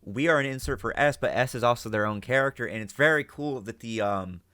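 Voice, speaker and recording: A man gives casual reaction commentary in a bright, forward voice at a middling pitch. He thinks aloud in an animated, unfiltered way. The sound is dry, with very little room. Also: brisk, clear, resonant tone, no echo.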